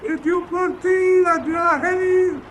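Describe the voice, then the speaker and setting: Brusque german u-boat commander, really high strained shouting, slow, radio drama. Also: male voice, steady tone, a little hiss or hum, heavily acted. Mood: brusque, hailing. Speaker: actor.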